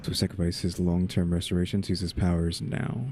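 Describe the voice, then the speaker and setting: Deep-voiced casual male gamer. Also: level tone, fairly quick, noisy background. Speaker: gamer, channel host. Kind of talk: casual gameplay commentary. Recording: game music behind.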